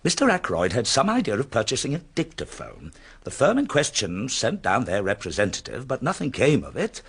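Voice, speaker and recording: An actor playing a polite, businesslike private secretary who explains things in a radio drama. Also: male voice, medium pitch, huge pitch swings, fairly quick, fairly bright tone, breathy edge, heavily acted. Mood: polite, informative.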